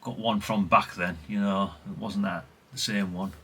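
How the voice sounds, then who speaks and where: A man's voice: on the low side, fairly even intonation, normal speed, a bit bright, slightly rough. A middle-aged British man talking casually in a rambling, chatty show-and-tell way, often correcting himself and adding tag questions. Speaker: hobbyist video host.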